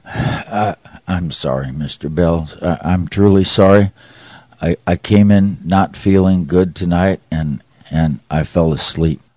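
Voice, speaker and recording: A man plays a sheepish, bumbling night watchman in a radio play. His voice is low and dark, and he stammers and hesitates through his words at a slow pace. The sound is dry and close. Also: no room sound. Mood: apologetic. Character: flustered, sheepish, slow-witted male night watchman at a bank.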